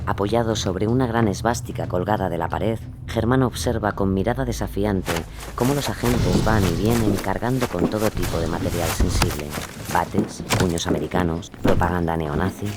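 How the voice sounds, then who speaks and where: A man's voice: deep voice, normal speed, loud music behind the voice. A man reading scripted narration for a dramatized true-crime story.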